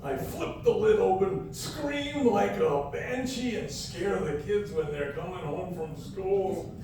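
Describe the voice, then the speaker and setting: A man's voice: high-pitched, animated, takes it slowly, background noise. A man playing a cranky, eccentric very old comedian, grumbling and hammy, in a live comic radio play. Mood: mischievous, deadpan. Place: live fundraiser event room before an audience. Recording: echoey live hall, distant mic.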